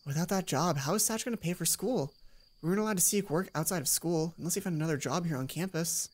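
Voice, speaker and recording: A woman's voice: lowish voice, fast, a little mellow. A woman hosting a let's play, reading visual novel narration aloud and dropping in casual asides.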